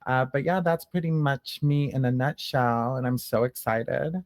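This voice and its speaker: A man talks informally as a panel guest. His voice is mid-pitched and comes through dry, with no echo. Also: normal speed. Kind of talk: casual panel chat.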